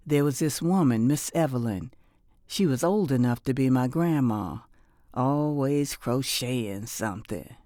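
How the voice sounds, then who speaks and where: An actress playing a reflective, weary but resilient former prisoner and mother in middle age, delivering an intimate, deliberate audio drama monologue. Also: rumbling low, a little mellow, dry recording, clean studio sound, full character voice. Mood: tender, reflective.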